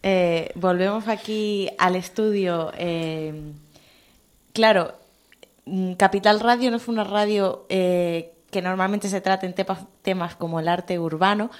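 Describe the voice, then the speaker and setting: A woman with a mid-range voice hosts a radio interview from the studio. She puts her questions to the guests in a measured way, with occasional hesitations. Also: medium pitch. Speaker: radio host.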